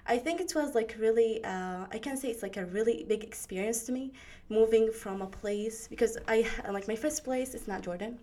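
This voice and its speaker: A woman's voice: fairly high pitch, moderate pace, mellow. A teenage girl from Syria whose first language is Arabic, speaking English with an Arabic accent and answering interview questions hesitantly, searching for words. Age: teens. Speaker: graduating student guest.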